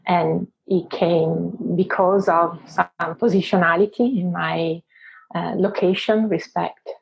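A woman's voice: fairly low pitch, fairly even intonation, slow, creaky, slightly rough, muffled recording. A woman with an Italian accent, a podcast guest who talks in a reflective way and laughs often. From Italy. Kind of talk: conversational podcast interview.

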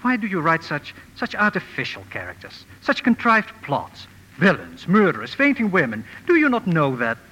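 An actor playing a kindly, earnest professor in a 1950s radio drama, speaking gently and formally with deliberate phrasing. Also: male voice, on the high side, huge pitch swings, breathy edge, exaggerated voice. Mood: earnest criticism. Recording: old radio broadcast, reverberant, music behind.

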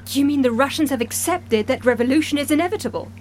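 Actress as blunt female operative for audio drama, high voice, incredulous and demanding, faint ambience behind her. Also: fast, darkish tone, slight background noise, lightly acted. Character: incredulous, questioning female agency operative, stranded abroad.

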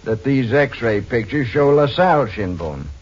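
A grudging city medical examiner in an old radio mystery. He is skeptical and plain-spoken, with a mid-range, slightly rough voice. The recording is dull and lacks sparkle. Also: mid-range voice, expressive, unhurried. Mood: skeptical, puzzled. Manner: questioning, matter-of-fact. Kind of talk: old-time radio detective drama acting.

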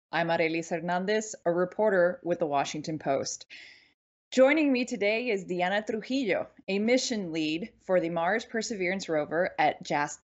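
A woman reporter hosts the interview in a somewhat low voice. She asks friendly, conversational questions. Her video-call audio sounds a bit dull. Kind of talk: hosted interview.

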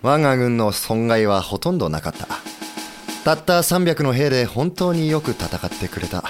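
Male actor, resolute samurai leader, middle pitch, lively intonation, earnest and gentle, period audio drama, music behind. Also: moderate pace, natural voice. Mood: gentle, warm. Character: idealistic male samurai commander-in-chief, gentle yet resolute.

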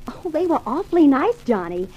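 A woman's voice: sits high, big pitch swings, moderate pace, darkish tone. A woman playing a devoted young bodyguard in a 1950s radio crime drama, soothing and matter-of-fact. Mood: earnest, admiring.

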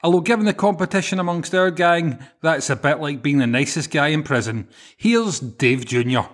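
A man's voice: fairly high pitch, animated, moderate pace. A man with a Glaswegian Scottish accent, hosting a podcast with scripted introductions. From Glasgow, Scotland. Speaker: podcast host.

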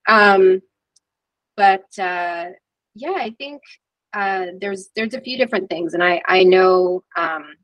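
A woman guest on an interview podcast, reflective and candid, often pausing with "um" and restarting her sentences. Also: mid-range voice, dry recording, muffled recording. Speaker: guest, PR agency founder.

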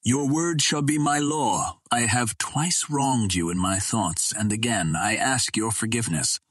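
A man's voice: mid-range voice, measured pace, a bit bright, no echo. A man voicing a dignified young Martian princess, speaking in an earnest, formal way. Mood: solemn.

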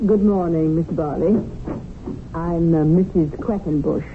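Coy, low-voiced lady visitor. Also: measured pace. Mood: coy, bright. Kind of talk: radio drama acting. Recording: noisy, tinny old radio recording.

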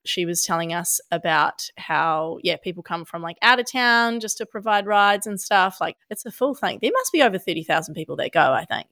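Aussie-born woman podcaster, husky with a cold, lowish voice, dry close sound. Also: animated, brisk, hardly any pauses, sharp tone, no echo. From Australia. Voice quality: husky, deep. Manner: chatty, laughs a lot, many fillers like "hey". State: lost voice, head cold. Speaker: podcast co-host. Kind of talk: casual chat.

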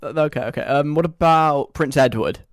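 A man's voice: a little high, brisk, no room sound, studio quality. A British man hosting a radio show in a casual, chatty, wry and self-deprecating conversational way.

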